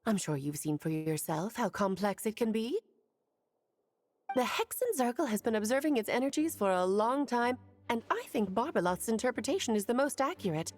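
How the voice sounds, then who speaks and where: A woman voicing an ageless, cheerful and wise witch who speaks in a lilting, gently teasing way. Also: a little high, lively intonation, moderate pace, lightly acted. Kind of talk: video game voice acting.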